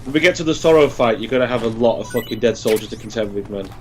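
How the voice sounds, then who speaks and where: A man's voice: mid-range voice, fast, a little mellow, slight background noise. A man giving casual co-commentary on a video game, talking as he would with friends. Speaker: let's play co-commentator. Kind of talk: casual gaming commentary chat.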